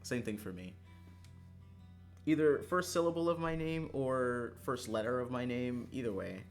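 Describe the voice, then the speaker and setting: A livestreamer chatting casually to viewers in an animated, exasperated way, swearing and laughing often. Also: medium pitch, normal speed, soft background music. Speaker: livestreamer, gaming streamer.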